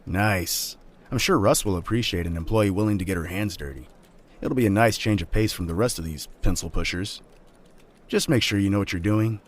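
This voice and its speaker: A man's voice: on the low side, a little fast, close to an everyday voice. An actor playing a laid-back, teasing young man and loyal friend in an audio drama, casual and joking. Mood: friendly. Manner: casual, joking, swears freely.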